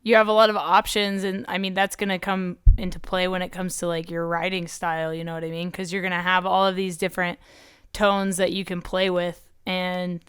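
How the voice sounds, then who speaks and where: A woman's voice: lowish voice, little pitch movement, brisk, fairly bright tone, clear, resonant tone, dry recording, clean studio sound. A woman hosting a podcast and talking casually about vocals, encouraging and chatty with filler phrases. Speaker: podcast host and metal vocalist.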